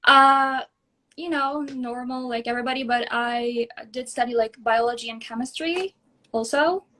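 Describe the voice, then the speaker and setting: A young woman from Israel in her early twenties speaks in a clearly high voice. She answers casually, as a guest in an online interview. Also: normal speed, sharp tone. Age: early 20s. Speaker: guest, actress and singer.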